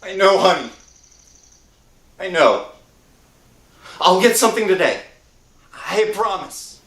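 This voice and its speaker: An actor playing a desperate, haunted unemployed husband in an emotive 1960s radio horror drama style. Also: male voice, high voice, animated, slow pace, fairly bright tone, exaggerated voice. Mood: pleading, bitter. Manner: melodramatic, emotive, swinging from murmur to outcry. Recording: roomy old-time radio recording with music.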